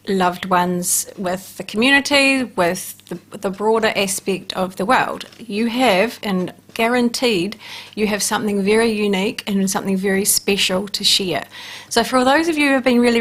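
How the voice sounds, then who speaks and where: A woman hosts a radio talk show. Her voice sits at a middle pitch and her delivery is encouraging and uplifting, addressed straight to her listeners. She sits in a radio studio. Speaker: radio show host, life coach.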